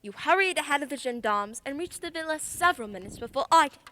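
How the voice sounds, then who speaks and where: Woman acting female sleuth, radio drama, very bright, lively intonation, precise, assertive. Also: sits high, fast talker. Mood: accusing, assured. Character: confident female detective, sharp and methodical, accused of murder.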